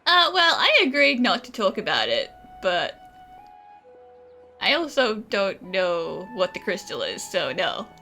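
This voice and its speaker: A woman's voice: medium pitch, big pitch swings, unhurried, vocal fry, pushed and bright, soft background music. A woman streamer giving casual, playful, chatty commentary as she answers game characters aloud. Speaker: gaming streamer. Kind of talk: casual reactive gameplay commentary.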